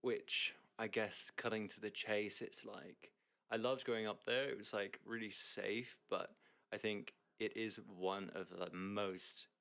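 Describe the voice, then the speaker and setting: A man's voice: fairly low pitch, steady tone, a little slow, creaky, a bit bright. A young man who grew up in Hong Kong, a guest chatting casually, rambling with many fillers and restarts. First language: English. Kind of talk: casual interview chat.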